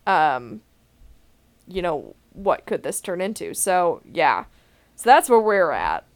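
A young woman hosts a casual podcast chat in a low, deep voice. She talks candidly and rambles a little, with the odd laugh slipping into her sentences. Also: very animated, takes it slowly, creaky. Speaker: podcast host.

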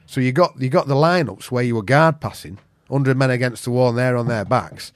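A man's voice: mid-range voice, fairly quick, dry recording, clean studio sound. Blunt, sweary Yorkshireman telling stories. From Yorkshire, England. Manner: blunt, sweary, earthy storyteller. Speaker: interview guest, veteran jiu-jitsu practitioner. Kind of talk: casual anecdotal chat.

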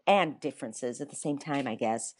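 A woman, a stage actor, answering interview questions in a thoughtful, chatty way, with a higher, young-sounding voice. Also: normal speed, husky. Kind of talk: interview, relaxed reflective answers.

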